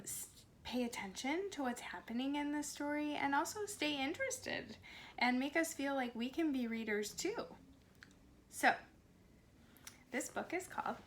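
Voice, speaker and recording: A woman's voice: high-pitched, a bit bright. A woman reading a storybook aloud to young children, expressively and with simple explanations, in a home recording. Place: cozy corner at home. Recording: faint background noise, home recording.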